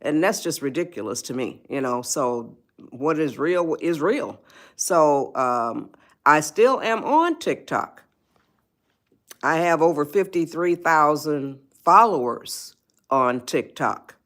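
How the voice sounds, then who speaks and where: A woman's voice: sits low, lively intonation, slow pace. An 81-year-old woman, hosting a live stream and giving advice. She rambles, repeats herself and greets viewers by name. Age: 80s. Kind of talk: spontaneous livestream talk.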